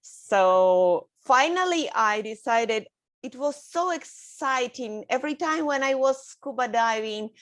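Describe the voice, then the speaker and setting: Slow Russian-accented woman guest. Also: on the high side, animated, no echo. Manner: halting, with many fillers and soft laughs. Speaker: guest artist. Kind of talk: casual interview.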